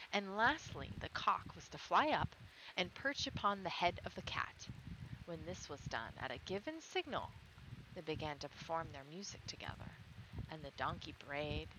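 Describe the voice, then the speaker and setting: Woman storyteller over clear background noise. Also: lowish voice. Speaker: storyteller, stream host. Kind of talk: storybook read-aloud with casual asides. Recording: clearly noisy background with clicks.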